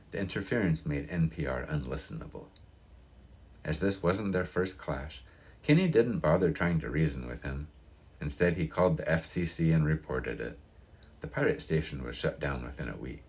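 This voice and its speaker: A man's voice: rumbling low, husky. A man reading a novel aloud in the style of audiobook narration.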